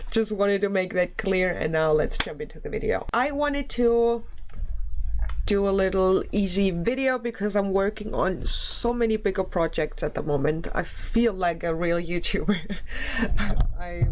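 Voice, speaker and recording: A woman's voice: medium pitch, a little slow, a little hiss or hum. A woman hosting a casual commentary video, a little sick so her voice sounds slightly off, chatty and giggly. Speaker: YouTube commentary host. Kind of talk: casual talk to camera.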